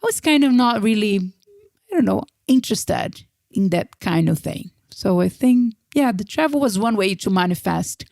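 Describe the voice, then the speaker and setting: A woman's voice: medium pitch, expressive, normal speed, creaky, a little mellow, no echo. Brief: young Brazilian woman, non-native English, eager storyteller, dry close-mic sound. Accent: Brazilian Portuguese. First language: Portuguese. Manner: enthusiastic, says "right?" and "like" often. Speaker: podcast guest. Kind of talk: casual interview talk.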